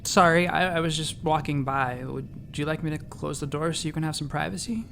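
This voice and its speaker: A man's voice: fairly high pitch, a little fast, vocal fry, fairly bright tone, a little hiss or hum. A man playing a polite, hesitant young space-station doctor with dry incredulity, in a sci-fi comedy audio drama. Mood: awkward, polite. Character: polite, flustered newly arrived male station doctor.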